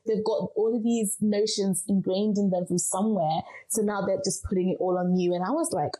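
A woman's voice: medium pitch, steady tone, a little fast, dark tone, poor audio. A young woman from Zimbabwe, answering interview questions with earnest, encouraging advice. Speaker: guest speaker.

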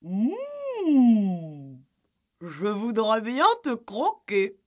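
A high, bright cow voiced by a woman from a children's tale. It moos hungrily and greedily, with swooping intonation. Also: huge pitch swings, exaggerated voice. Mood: hungry. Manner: mooing, drawn-out.

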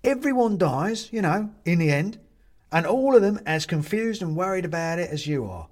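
Guy doing a cheery fussy little china ghost gent from a storybook, hearty, husky, bouncy pitch. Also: animated, moderate pace, a little mellow. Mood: cheerful, reassuring. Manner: hearty, chatty, old-fashioned British phrasing with tag questions. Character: jovial, fussy china-figurine man, a ghost. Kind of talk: storybook character voice.

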